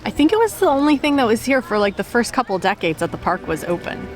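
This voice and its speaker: A woman's voice: a little high, big pitch swings, fast talker, faint music behind. A woman travel vlogger narrating and chatting in an informal, conversational way. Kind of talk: casual vlog narration and chat.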